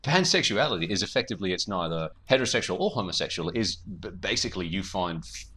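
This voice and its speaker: A man's voice: sits low, expressive, fast, pushed and bright, breathy edge. A man co-hosting a podcast in casual, wry, conversational chat. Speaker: podcast co-host.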